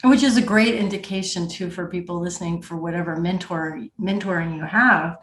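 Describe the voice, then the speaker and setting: A woman's voice: on the low side, a little fast. Woman interviewer in an echoey room. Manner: responsive, frequent affirming murmurs. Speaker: podcast host, interviewer. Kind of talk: conversational interview. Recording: roomy, echoey sound.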